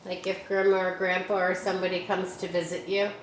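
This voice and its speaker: Mom reading a kids book aloud, kinda low voice, chatty little comments, echoey room with faint hiss. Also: female voice, flat delivery, fairly quick. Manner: gentle, adds chatty asides for kids. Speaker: children's book reader.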